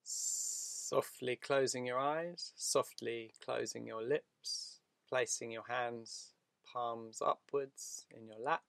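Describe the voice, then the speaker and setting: A man leading a guided meditation in a soothing, unhurried manner, speaking in short phrases with long pauses. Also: medium pitch, steady tone, creaky, fairly bright tone, no echo.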